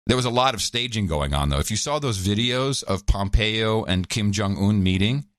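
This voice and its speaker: A man co-hosting a podcast in casual chat, wry and animated, laughing often. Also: on the low side, normal speed, no room sound, clean studio sound. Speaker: podcast co-host.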